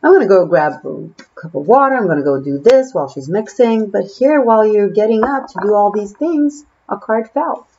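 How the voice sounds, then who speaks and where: A woman's voice: mid-range voice, expressive, moderate pace, few pauses, mellow, highs cut off, a little hiss or hum. A woman from Israel with an Israeli accent, a native Hebrew speaker, giving a casual tarot reading to the camera, musing and chatty with many fillers. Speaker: tarot reader.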